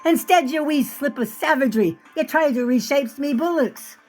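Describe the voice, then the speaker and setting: Lady doing a blustery irish soldier lad, sing-songy, way up high. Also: moderate pace, darkish tone, soft background music, natural voice. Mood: blustering. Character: strapping young Irish immigrant soldier, blustering.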